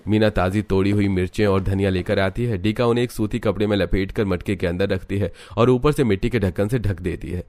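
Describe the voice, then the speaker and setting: A man's voice: on the low side, brisk, hardly any pauses, darkish tone. A man reading scripted narration for a cartoon story in the present tense.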